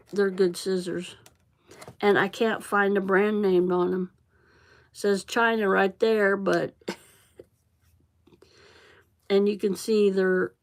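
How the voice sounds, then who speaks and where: A middle-aged woman with a Central Illinois accent rambles through a casual craft livestream at a slow, unhurried pace. She is chatty, drifts off topic, and now and then mutters to herself as she works. Her pitch sits in a middle range. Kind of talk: casual chat while crafting.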